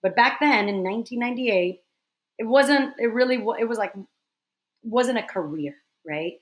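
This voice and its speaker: A woman in her forties from the Dominican Republic, telling stories in a casual interview with quick, animated restarts. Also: fairly high pitch. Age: 40s. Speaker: guest, theater director.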